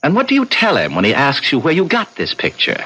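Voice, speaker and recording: Husky, sly otherworldly visitor. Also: male voice, on the high side, huge pitch swings, fairly quick, pushed and bright, exaggerated voice. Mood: sly, coaxing. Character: sly otherworldly male visitor, worldly and patiently persuasive. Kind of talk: 1970s radio drama acting. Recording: noisy old 1970s radio recording.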